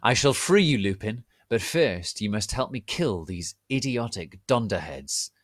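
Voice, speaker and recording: A man's voice: lowish voice, lively intonation, measured pace, no echo, clean studio sound. A man voicing a cruel, haughty villainous male teacher with a declamatory, menacing delivery in a story reading.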